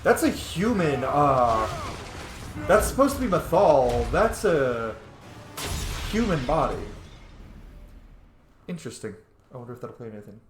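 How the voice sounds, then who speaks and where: Casual male game streamer, opinionated and profane, lively intonation, echoey recording. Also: mid-range voice, measured pace, pauses often, over music. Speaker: gaming streamer. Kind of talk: casual gameplay commentary. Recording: echoey room, faint background noise.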